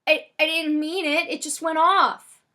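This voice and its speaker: Male storybook robber, jittery, shaky, high-pitched and bright performed by a female reader. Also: steady tone, a little slow. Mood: panicked.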